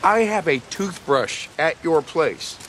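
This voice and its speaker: A man's voice: fairly high pitch, expressive, slow, fairly bright tone, slight background noise. An actor playing a cocky, sardonic outlaw biker in his thirties in a video game, with an American accent. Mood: playful, tender. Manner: joking, sardonic. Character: wisecracking, cocky young male outlaw biker. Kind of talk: naturalistic game cutscene acting.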